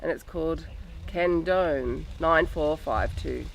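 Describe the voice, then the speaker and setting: A woman's voice: low-pitched, takes it slowly, creaky, rough recording. A woman, nasal and getting over bronchitis, chatting casually as a podcast host while showing off her yarn. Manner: chatty, rambling, frequent ums. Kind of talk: casual show-and-tell chat. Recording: steady background noise.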